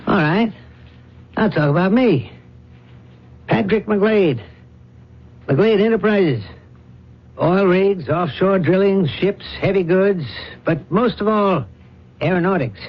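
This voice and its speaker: A man playing a blunt, commanding older industrialist father in a radio drama, talking in brisk, clipped, forceful phrases. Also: high-pitched, breathy edge, full character voice. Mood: brisk, probing. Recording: dull vintage radio broadcast recording.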